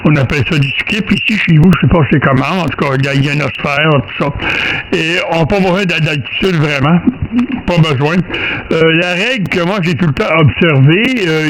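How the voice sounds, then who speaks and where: Quebecois guy chatting on ham radio, kinda high voice, rambly, noisy signal w/ clicks. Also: a bit bright. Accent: Québécois French. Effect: two-way radio transmission. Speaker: amateur radio operator. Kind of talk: casual radio chat about antennas.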